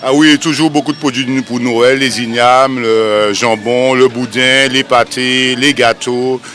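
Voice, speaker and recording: A man's voice: medium pitch, steady tone, measured pace, a bit bright. A man working in a shop, answering casually in an on-the-spot interview.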